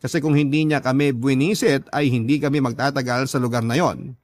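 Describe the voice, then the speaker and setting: A man's voice: mid-range voice, fairly even intonation, fairly quick, dry recording, natural voice. A man playing a letter sender, telling a personal story in first person with a Filipino accent for a radio drama. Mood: confiding.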